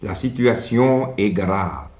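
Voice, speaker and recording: A man's voice: fairly low pitch, animated, slow, a little mellow. An actor playing a gloomy, sardonic male lodger with a dry wit in a German radio drama with roomy sound.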